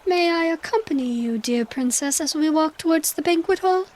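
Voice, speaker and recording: A woman's voice: high-pitched, normal speed, a little mellow, lightly acted. A woman playing a young desert chief in a fantasy audio drama, courteous, curious and eager with a mischievous streak.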